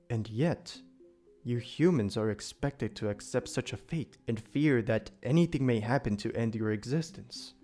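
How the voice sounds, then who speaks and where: A man playing a calm, solemn immortal demon protector in an audio drama, speaking in formal, measured, archaic phrasing. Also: mid-range voice, lightly acted. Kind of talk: audio drama character acting.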